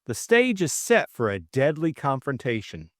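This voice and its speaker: A man's voice: mid-range voice, big pitch swings, moderate pace, no echo, studio quality. A man reading a scripted story recap and novel as an audiobook co-narrator, in dramatic style.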